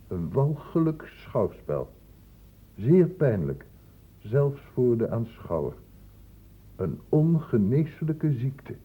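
Strict doctor in an old radio play, blunt n urgent, lively pitch, kinda muffled sound. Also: male voice, breathy edge, exaggerated voice. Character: stern, insistent male doctor questioning young people. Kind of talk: Dutch radio play acting.

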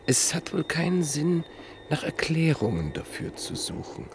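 A man telling a story in a radio drama, slow and measured, often dropping to a near whisper. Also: a little high, big pitch swings, noisy background. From Vienna, Austria. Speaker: narrator in a radio play. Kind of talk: 1950s radio drama acting.

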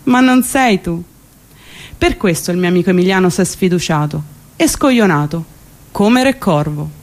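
A woman's voice: on the low side, animated, normal speed, a little mellow. A woman performing a comic theatrical monologue, colloquial, wry and emphatic. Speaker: stage actress and monologist.

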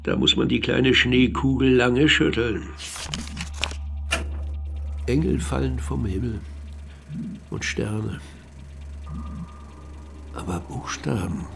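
Creaky-voiced reflective male narrator. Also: medium pitch, takes it slowly, long pauses, background noise. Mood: measured, enigmatic. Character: reflective male narrator recounting evidence and memories. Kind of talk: scripted radio play narration.

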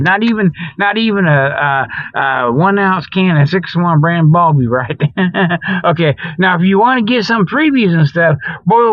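A man's voice: sits high, no room sound. A middle-aged man who sounds a little tired, hosting a comedy show with a rambling, folksy, jokey improvised monologue. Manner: folksy, jokey, meandering, chuckles at own jokes. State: tired, weary. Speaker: host of a comedic Bigfoot show. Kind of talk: rambling improvised monologue with mock sales pitches. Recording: dull lo-fi radio-style audio.

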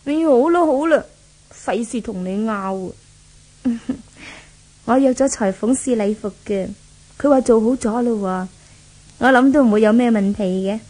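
Casting: actress as wheedling rich fiancée, scheming and possessive, higher-pitched yet soft-toned, Hong Kong Cantonese, vintage radio play. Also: female voice, a little slow, long pauses, natural voice, poor audio. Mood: wheedling, smug. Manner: coaxing, wheedling. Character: spoiled, scheming rich young bride-to-be, coaxing and possessive. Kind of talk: 1970s Cantonese radio drama acting.

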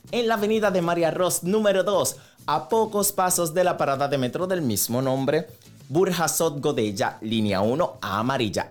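Una voz de radio de tono medio que presenta noticias de farándula y patrocinios en un programa matinal, hablando con cercanía al público. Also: medium pitch. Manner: chatty, conversational asides to co-host and audience. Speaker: radio host. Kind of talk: entertainment news and sponsor reads on a morning radio show. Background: background music.

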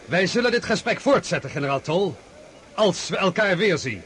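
An actor playing a proud, defiant military commander in a radio drama, indignant and clipped. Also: male voice, high voice, expressive, normal speed, a bit bright, a little hiss or hum. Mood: indignant defiance.

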